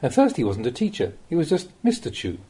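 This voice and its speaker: A man reading a Buddhist Dhamma talk aloud as a monk, in the measured, plain-spoken way of a teacher, with rhetorical emphasis. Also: a little high, wildly swooping pitch, a crowd in the background.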